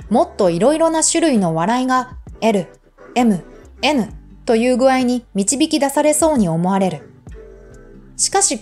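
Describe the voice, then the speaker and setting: Female audiobook narrator, high voice, formal measured essay reading over background music. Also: a little mellow.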